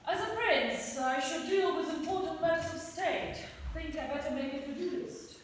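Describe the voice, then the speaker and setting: Woman doing a scatterbrained young prince, wry, high voice, echoey far-off hall. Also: normal speed, a little hiss or hum, close to an everyday voice. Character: forgetful, moody young prince.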